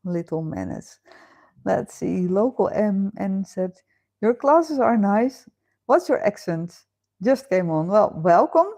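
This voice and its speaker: A woman's voice: mid-range voice, very animated, takes it slowly, dark tone. A woman with a Dutch accent hosting a casual live chat from home, reading out viewer comments and chatting with many fillers and laughs. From Netherlands. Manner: chatty, laughs often, thinks aloud with fillers. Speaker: livestream host.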